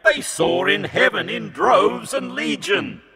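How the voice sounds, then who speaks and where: Scornful shouting devil in a recited ballad, pitched way up and gravelly, as a man plays him. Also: big pitch swings, takes it slowly, a loud crowd, full character voice. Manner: shouting, sneering, high strained voice. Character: Satan, scornful male devil who mocks the poor. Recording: long reverb hall.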